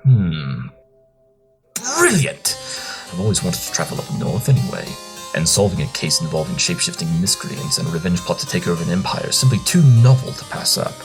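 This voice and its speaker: A man's voice: sits low, big pitch swings, a little fast. A man playing a brilliant, eccentric pony detective who talks in a haughty, wordy, theatrical way over music in an audio drama. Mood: eager. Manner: theatrical, verbose, self-assured. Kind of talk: scripted audio drama acting.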